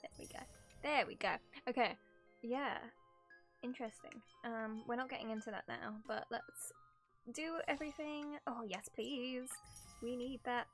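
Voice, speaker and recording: Woman, higher-pitched voice, bubbly and chatty, casual game livestream, music behind. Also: unhurried. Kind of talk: casual livestream chat while starting a game.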